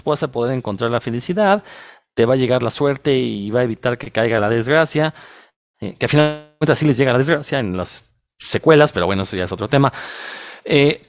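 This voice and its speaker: A man's voice: on the high side, no echo. Mexico City man giving opinionated commentary, dry sound. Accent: Mexico City chilango. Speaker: podcast co-host and film commentator. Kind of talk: opinionated film discussion.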